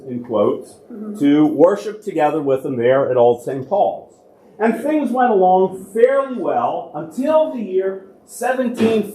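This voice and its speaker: A man, a church archivist, talks a congregation through local history in a relaxed, conversational lecture. His voice sits a little high for a man, and he often poses a question before answering it himself. The recording carries the roomy echo of a large church hall. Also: slight background noise. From United States.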